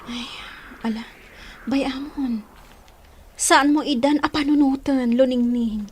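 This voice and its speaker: A village confidante in an Ilocano radio drama. Her voice is high, her manner concerned and caring, and her accent Ilocano. Faint noise sits behind the voice. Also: slow pace. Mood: gentle concern. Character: caring, anxious village woman, the heroine's confidante.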